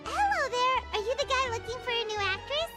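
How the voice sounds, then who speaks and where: A woman voicing a small, excitable fairy-like girl companion in a video game, with a squeaky, childlike, chirpy delivery. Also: fairly quick, over loud music. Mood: bubbly, eager. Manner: chirpy, exclaiming, speaks of self in third person.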